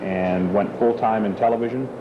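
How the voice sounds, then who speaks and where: Calm older-sounding man, low voice, tape hiss. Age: middle-aged. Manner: measured, explanatory, with ums. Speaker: TV news anchor, interview guest. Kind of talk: relaxed interview answers. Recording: muffled old camcorder tape with hiss.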